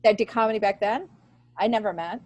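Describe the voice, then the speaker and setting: A middle-aged American woman telling stories casually as a guest, in a halting, animated way that often restarts sentences. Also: mid-range voice, no room sound, dull audio. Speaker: podcast guest, stand-up comedian. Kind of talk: casual conversational storytelling.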